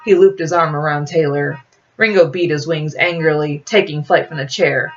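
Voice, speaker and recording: A woman reading her novel aloud in an expressive storytelling style. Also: low voice, normal speed, recorded from a distance. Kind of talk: expressive fiction reading with acted dialogue. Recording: dull lo-fi audio.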